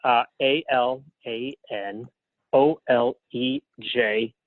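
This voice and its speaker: A man's voice: mid-range voice, fairly even intonation, slow, dry recording. Man on a thin phone line. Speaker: interview guest, playwright and librettist. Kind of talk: casual interview conversation. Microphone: phone or call line.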